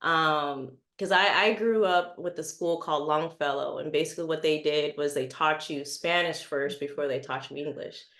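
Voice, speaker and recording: Low-voiced woman in an echoey room. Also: normal speed, hardly any pauses, a bit bright. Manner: short reactions and easygoing questions. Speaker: interviewer. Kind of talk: casual conversational interview.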